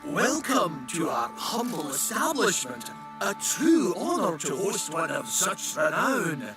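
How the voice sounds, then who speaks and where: An actor playing an obsequious, folksy dwarf blacksmith in a video game, eager to please important customers. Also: male voice, sits high, wildly swooping pitch, slow, full character voice. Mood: fawning. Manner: servile, folksy, sighing. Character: fawning, obsequious dwarf blacksmith, grumbling in private. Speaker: blacksmith shopkeeper. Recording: game dialogue with music behind.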